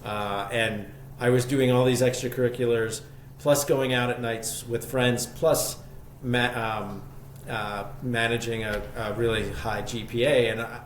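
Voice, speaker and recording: A man speaking as an interview guest, telling his story conversationally in a reflective, enthusiastic way. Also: mid-range voice. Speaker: podcast guest, shop co-founder. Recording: echoey room, faint background noise.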